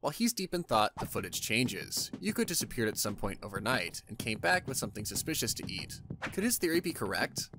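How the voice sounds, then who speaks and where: A man's voice: mid-range voice, sing-song, a little fast, noisy background. A man narrating a scripted story recap in an informal, wry storytelling manner.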